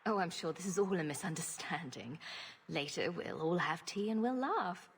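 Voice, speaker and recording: A woman's voice: fairly low pitch, lively intonation, normal speed, in a large hall, a little hiss or hum. An actress playing a sarcastic young woman rogue in a video game, with a dry, mocking, nonchalant manner. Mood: flippant.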